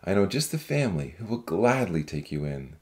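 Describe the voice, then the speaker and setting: A woman voicing a kind, calm, devout man who speaks gently and earnestly, as audiobook dialogue. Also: a bass voice, slow pace, pitched below the performer's own voice, full character voice. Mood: reassuring.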